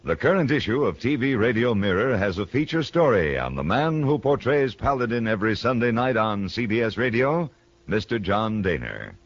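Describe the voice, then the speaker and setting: A man's voice: fairly low pitch, sing-song, moderate pace. A man with an American accent reading scripted closing credits in a polished, declamatory 1960s radio announcer style. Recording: muffled old broadcast over music.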